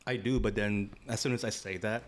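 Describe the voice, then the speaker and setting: Low-voiced laid-back man chatting. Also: steady tone, fairly quick. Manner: laid-back, swears freely, heavy use of "like" and "you know". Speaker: podcast co-host. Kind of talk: casual chat.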